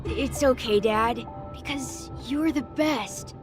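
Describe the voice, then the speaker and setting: Meek little boy for a game played by a woman; high, unhurried, innocent on the surface. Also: over music. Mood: innocent, earnest. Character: sly, outwardly innocent little boy, dutiful son. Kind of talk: video game voice acting.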